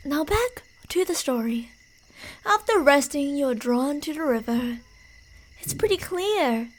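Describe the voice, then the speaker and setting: High-voiced woman as a teasing, creepy yandere stalker. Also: animated, a little slow, rough recording. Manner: playful, menacing, taunting, dropping into whispers. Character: creepy, teasing, delusional female yandere stalker narrating her prey's path. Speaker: ASMR roleplay performer. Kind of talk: ASMR horror roleplay, second-person narration.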